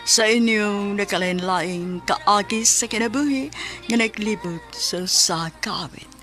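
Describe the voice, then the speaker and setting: A woman's voice: mid-range voice, unhurried, a bit bright. Cebuano-accented woman announcer over music. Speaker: radio drama host and announcer. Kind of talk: scripted radio announcing.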